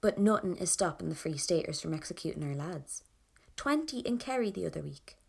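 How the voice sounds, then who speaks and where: Wry young Irish rebel narrator. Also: female voice, on the low side, expressive, fairly quick, creaky, slightly rough, exaggerated voice. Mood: bitterly sarcastic. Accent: Irish, Dublin. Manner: colloquial, wry, dramatic storytelling. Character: young female anti-Treaty rebel in 1920s Dublin, wry and defiant. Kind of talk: first-person audio drama narration.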